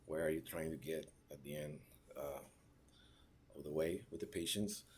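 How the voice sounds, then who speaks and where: A man gives a class presentation. He pauses often and searches for words, checking in with short tag questions as he goes. A faint hiss of noise sits under the recording. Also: extremely low pitch, darkish tone. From Mexico (raised in Ciudad Juárez). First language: Spanish. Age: 30s. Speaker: registered nurse.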